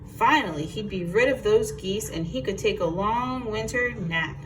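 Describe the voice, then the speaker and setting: A woman reading a picture book aloud to children in an expressive, teacherly way, with asides and questions. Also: medium pitch, moderate pace. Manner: expressive, explains words and asks listeners questions. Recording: noisy, distant microphone.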